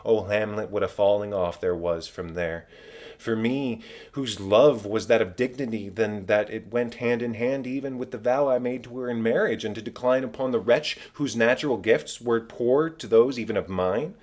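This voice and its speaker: A man voicing the ghost of a murdered king, a solemn, tormented, vengeful father declaiming in drawn-out phrases in a Shakespeare audiobook. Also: lowish voice, darkish tone, close to an everyday voice. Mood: bitter, grieving. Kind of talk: dramatic Shakespeare audiobook reading.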